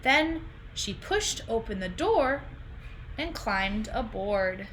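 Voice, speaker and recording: Slow, high-pitched, animated woman reading to children. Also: poor audio. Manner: questioning, explaining simply to children. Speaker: teacher reading a picture book aloud. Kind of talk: storybook read-aloud for young children. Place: indoors in a home room. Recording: clear background noise, home video call.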